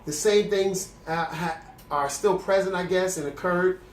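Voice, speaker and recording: A man's voice: high voice, unhurried, slight background noise. A young adult man who is congested and has a cough, hosting a celibacy video series and talking candidly about faith in an earnest, preacherly way with frequent restarts. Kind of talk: candid faith talk to camera. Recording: echoey room.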